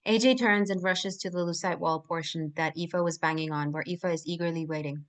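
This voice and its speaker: A woman's voice: on the low side, fairly even intonation, a little fast. A woman narrating scripted screenplay action lines as the reader at a table read. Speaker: stage-direction reader.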